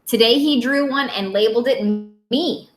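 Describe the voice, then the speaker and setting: A woman teacher reading a picture book aloud to young children in an expressive, simple way. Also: fairly high pitch, normal speed, reverberant, over music.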